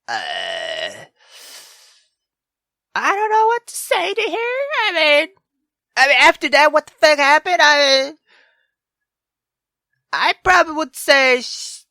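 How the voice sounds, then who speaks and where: A man hosting a gaming video with casual, reactive commentary, halting, repeating himself and swearing freely. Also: high voice, sharp tone, dry recording, clean studio sound.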